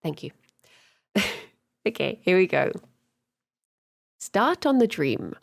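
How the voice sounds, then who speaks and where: A woman's voice: lowish voice, very animated, slow, gravelly. Giggly woman host reading aloud. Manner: giggly, reads with frequent laughter. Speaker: podcast host. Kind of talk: reading a dream diary aloud to a guest.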